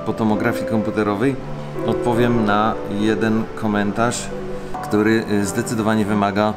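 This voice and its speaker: Man vlogging over loud guitar music. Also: fairly low pitch, flat delivery, moderate pace. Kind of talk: casual vlog talk to viewers.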